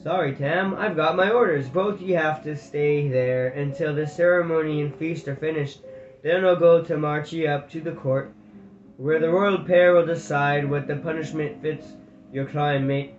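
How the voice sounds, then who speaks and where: A man's voice: on the high side, fairly even intonation, normal speed, soft background music, natural voice. Man playing a friendly squirrel jail guard, apologetic, echoey lo-fi room. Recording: echoey room, dull lo-fi sound.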